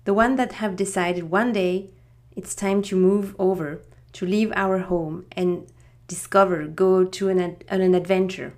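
A woman's voice: on the low side, fairly even intonation, a little mellow. A middle-aged woman from France with a French accent, a native French speaker, hosting a podcast and telling her own story in a reflective way, often restarting her sentences. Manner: unhurried, reflective, with frequent restarts. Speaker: podcast host.

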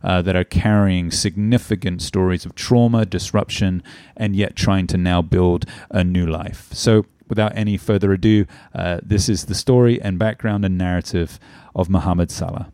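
A middle-aged man hosting a podcast, speaking earnestly and filling pauses with "um" and "uh". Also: sits low, fairly even intonation, warm tone, clean tone, no room sound. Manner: earnest, heavy with "um" and "uh". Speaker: podcast host. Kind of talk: podcast intro and interview.

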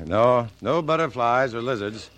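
An actor playing a genial scientist on a camping trip, relaxed in his phrasing, in a 1950s radio drama. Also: mid-range voice, takes it slowly, over music. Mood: genial, amused. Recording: old radio broadcast, faint noise.

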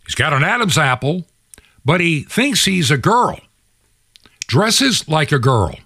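A man's voice: fairly high pitch, very animated, slow, sharp tone, dry recording, clean studio sound. A man hosting a radio program, delivering opinionated monologue commentary in an indignant, preachy manner with rhetorical questions and emphatic repetition.

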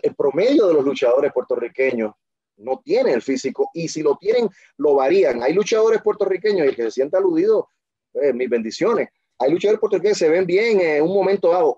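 A confident man tells his stories emphatically, stumbling and restarting as he goes. His voice is dark and husky. The sound is dry and dull. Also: on the high side, dry recording. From Dominican Republic. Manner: emphatic, self-assured, full of restarts. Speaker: interview guest. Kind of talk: casual interview storytelling.

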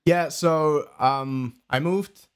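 A man's voice: fairly high pitch, very slow, clean tone. Bulgarian young man, casual chat. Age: early 20s. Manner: loose, rambling, laughs easily. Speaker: guest.

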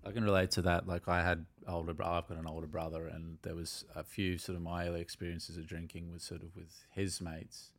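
A man with a very deep voice, co-hosting a podcast in a relaxed, casual way. He shares his own experiences in response to the guest. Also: brisk, hardly any pauses. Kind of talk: casual chat.

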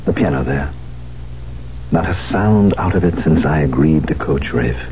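An actor playing an elderly piano coach, a fond and wistful husband, in a radio drama. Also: male voice, extremely low pitch, animated, moderate pace, a little hiss or hum. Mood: solemn realization. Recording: muffled, humming vintage radio broadcast.

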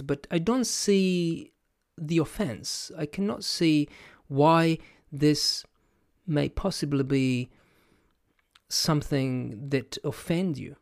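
Slow, hesitant Italian-accented man. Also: a little high, darkish tone, dry recording, studio quality. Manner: hesitant, many fillers and self-restarts, thinking aloud. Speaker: podcast host and language educator. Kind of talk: casual reflective monologue to camera.

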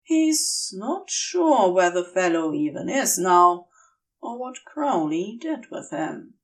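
Slow, expressive woman reading fiction. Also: medium pitch, recorded from a distance. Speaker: podfic narrator. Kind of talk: scripted fiction narration.

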